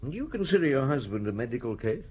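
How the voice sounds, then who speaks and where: An actor in an audio drama playing a shrewd chief inspector who questions people in a measured, blunt, politely insistent way. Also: male voice, mid-range voice, sing-song, a little mellow, a little hiss or hum, lightly acted. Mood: probing, polite. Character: shrewd, probing male police chief inspector. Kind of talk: audio drama dialogue and first-person narration.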